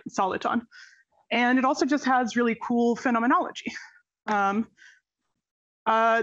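A woman's voice: a little high, highs cut off. A young woman from Serbia, a postdoc researcher, giving an academic presentation with a nerdy, playful manner and many fillers and self-corrections. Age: young adult.

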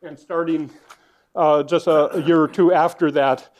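A man with a somewhat high voice lectures on physics in a hall. He works things out aloud, stumbling over words and filling gaps with "uh." His volume rises and falls as he turns between the board and the room. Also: steady tone, moderate pace. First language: not Dutch. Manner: thinking aloud with restarts and fillers, varying loudness.

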